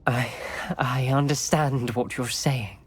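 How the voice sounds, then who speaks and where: A man's voice: medium pitch, fairly even intonation, slow, husky. A man voicing a principled, troubled teenage wizard with a British accent in a video game cutscene. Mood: reluctant, resigned.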